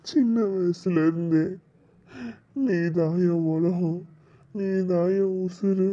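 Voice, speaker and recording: A man's voice: high voice, fairly even intonation, slow pace, warm tone, dull audio. A man acting a heartbroken young lover in a dramatic monologue, pleading with anguish and repeating his lines. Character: heartbroken young man lamenting lost love.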